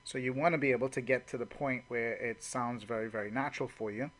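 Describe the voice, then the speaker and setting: A man's voice: mid-range voice, fairly even intonation, fast talker, fairly bright tone. A man giving an informal sales training talk in a casual, conversational way, often saying "you know".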